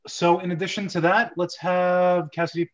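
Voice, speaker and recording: A man's voice: high voice, flat delivery, normal speed, highs cut off. A man chatting casually as host of an amateur script reading, handing out parts.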